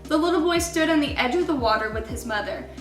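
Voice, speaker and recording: A woman reading a children's story aloud in an expressive storytelling style, with deliberate, emphatic wording. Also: sits high, reverberant, distant mic, faint music behind.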